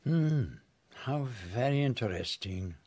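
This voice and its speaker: An actor playing a fussy, formal elderly Belgian detective with a French accent, in a radio play. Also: male voice, medium pitch, lively intonation, measured pace, darkish tone. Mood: polite. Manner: formal, precise, polite.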